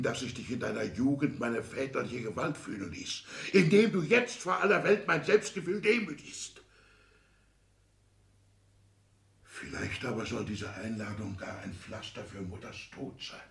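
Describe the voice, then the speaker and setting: An old petty-bourgeois father, anxious and full of indignation. His creaky voice leaps widely between outburst and hushed lament. The delivery is theatrical, in the manner of a 1960s German radio play. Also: medium pitch, expressive, moderate pace, hardly any pauses, reverberant. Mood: indignant. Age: older.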